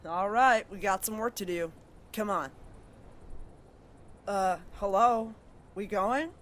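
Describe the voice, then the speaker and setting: A woman's voice: mid-range voice, takes it slowly, close to an everyday voice. A woman playing a brash, tough wasteland wanderer who talks bluntly and curses. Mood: brisk. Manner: blunt, cursing, sharp-tongued.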